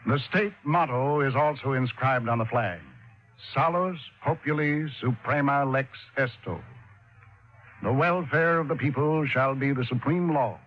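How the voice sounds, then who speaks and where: A man's voice: medium pitch, unhurried. A man announcing and narrating from a script in a formal old-time radio style. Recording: dull old radio recording.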